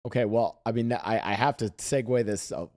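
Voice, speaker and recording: Fast-talking low-voiced male host. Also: darkish tone. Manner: quick, playful, jokey asides. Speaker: podcast host. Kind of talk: casual podcast chat.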